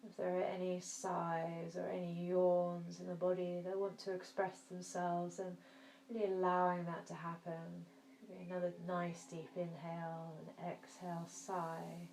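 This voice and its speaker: A woman teaching yoga nidra, guiding a relaxation meditation in a soft, soothing, gentle manner with long pauses. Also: fairly low pitch, completely flat delivery. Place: indoor room. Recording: roomy echo, faint background noise.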